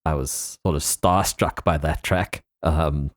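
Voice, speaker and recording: A man's voice: extremely low pitch, steady tone, takes it slowly, dry recording, clean studio sound. A man hosting a podcast in a casual, conversational style. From South Africa. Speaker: podcast host. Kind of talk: casual interview chat.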